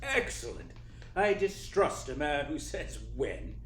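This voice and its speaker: An actor playing a jovial, sly, fat criminal schemer in a radio play, effusive and courtly in manner. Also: male voice, a little high, sing-song, exaggerated voice. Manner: effusive, courtly, deliberate, chuckling speech. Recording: roomy echo, faint noise.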